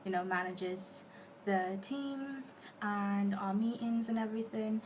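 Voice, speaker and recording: A woman's voice: medium pitch, steady tone, slow pace, darkish tone, slight background noise. A twenty-one-year-old woman from Malaysia, a fan base admin, answering interview questions playfully and sometimes dropping to a whisper.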